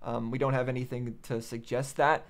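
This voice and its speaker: A man's voice: mid-range voice, little pitch movement, a little fast. A man hosting a game reaction channel, thinking aloud in casual commentary and repeating phrases as he reasons.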